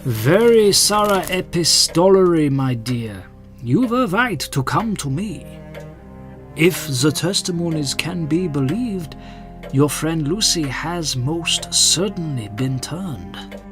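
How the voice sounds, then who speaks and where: Man playing a slow, courtly older vampire-hunting doctor. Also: fairly high pitch, animated, a bit bright. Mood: grave. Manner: courtly, measured, grandiose. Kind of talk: theatrical audio drama acting. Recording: music under the dialogue.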